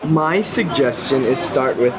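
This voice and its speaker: A man's voice: mid-range voice, animated, unhurried, over a noisy crowd. A man acting as a local guide, explaining things casually. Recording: noisy, crowded city outdoors.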